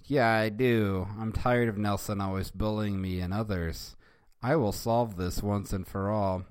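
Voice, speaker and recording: A man's voice: fairly low pitch, fairly even intonation, unhurried, darkish tone, smooth, no room sound, clean studio sound, natural voice. A man voicing a brash, mischievous schoolboy. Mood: defiant. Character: mischievous cocky ten-year-old boy.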